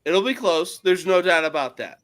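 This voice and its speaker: Profane New man, lively and bright. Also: high voice, normal speed. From North Jersey, USA. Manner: blunt, profane, joking. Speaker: podcast guest. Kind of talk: casual sports podcast chat.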